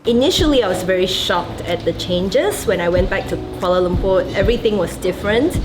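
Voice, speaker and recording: A woman's voice: medium pitch, lively intonation, fairly quick, rough recording. A woman with a Malaysian accent chatting through her interview answers with fillers and light laughter. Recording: background music throughout.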